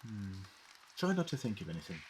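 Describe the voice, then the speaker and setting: Man playing a curious, lively time-traveling Doctor. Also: lowish voice, a little fast, slight background noise. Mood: inquisitive, musing. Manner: inquisitive, musing, precise. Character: male time-travelling Doctor, curious, eccentric, quick-thinking investigator. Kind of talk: audio drama acting.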